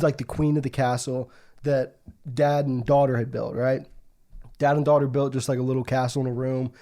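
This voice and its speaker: A man's voice: fairly high pitch, mellow. A young American man co-hosting a podcast, chatting and telling stories in a joking, slangy way. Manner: joking, slangy, laughs often. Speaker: podcast co-host. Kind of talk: casual chat and storytelling.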